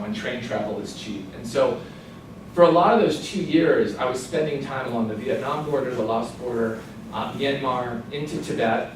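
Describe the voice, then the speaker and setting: An American man in his forties giving an author talk to an audience, telling stories with lively asides. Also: mid-range voice. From United States. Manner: lively storytelling with asides and laughs. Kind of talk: illustrated lecture to an audience. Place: event room at a cultural institute. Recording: very echoey room, voice from a distance, clear background noise.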